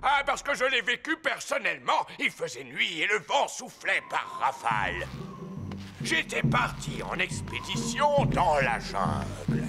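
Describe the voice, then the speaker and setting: An actor voicing a boastful old male rabbit who teaches gym in a cartoon, with a hearty, booming manner. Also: wildly swooping pitch, a little slow, vocal fry, heavily acted. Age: older. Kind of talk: dubbed cartoon acting. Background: cartoon music.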